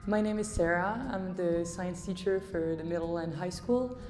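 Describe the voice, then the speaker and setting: A woman science teacher giving a calm, earnest self-introduction. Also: lowish voice, measured pace, music in the background. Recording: echoey hall with long reverb.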